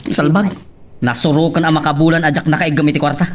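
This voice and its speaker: A woman's voice: low voice, fairly even intonation, fast, natural voice. A woman acting a sullen, complaining relative from a poor household in an audio family drama. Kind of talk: Ilocano radio drama acting.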